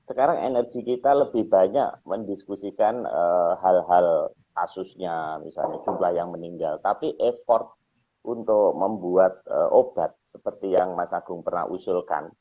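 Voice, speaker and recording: A man's voice: deep voice, a little slow, husky. A man with an Indonesian accent chatting as a discussion partner, politely agreeing and adding his own points. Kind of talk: casual discussion. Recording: thin phone-like call audio.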